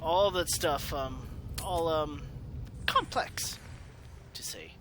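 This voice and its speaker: A man giving casual, rambling let's play commentary as a gaming host, often halting and trailing off mid-sentence. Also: a little high, a bit bright, slight background noise. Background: video game music.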